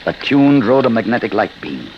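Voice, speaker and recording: An actor playing a grim, obsessive older inventor, grave and intense, in a vintage radio drama. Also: male voice, on the low side, very animated, moderate pace, lo-fi sound. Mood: tense resolve. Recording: noisy old radio recording with hiss.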